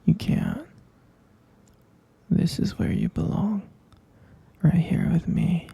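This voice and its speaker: A man playing an obsessive, possessive lovesick kidnapper boyfriend in an ASMR roleplay, cooing and coaxing insistently in a breathy, husky whisper. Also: high-pitched, slow pace, dark tone, heavily acted. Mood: possessive, firm.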